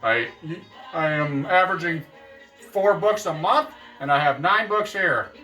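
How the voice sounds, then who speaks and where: A man with a bright, forceful voice talks through his reading list in a loose, jokey ramble, often stopping to correct himself. He sits back from the camera mic, so his voice sounds a little distant, and faint music plays underneath. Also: fairly high pitch, expressive, measured pace. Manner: jokey, meandering, self-correcting. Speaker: book vlogger. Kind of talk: casual rambling book chat.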